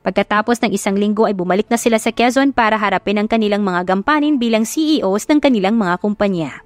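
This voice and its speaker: A woman's voice: mid-range voice, quick pace, no echo. A woman with a Filipino accent giving a scripted, dramatic narration of a romance story. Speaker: audiobook narrator. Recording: music behind the voice, dry studio sound. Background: background music.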